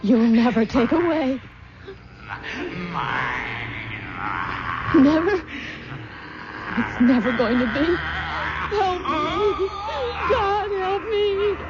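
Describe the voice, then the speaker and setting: An actress playing a desperate, anguished pregnant young bride in a melodramatic old-time radio horror play. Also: female voice, sits high, animated, very slow, pauses often, loud music behind the voice, full character voice. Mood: tormented, crying. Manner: melodramatic, intense. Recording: dull, noisy vintage radio recording.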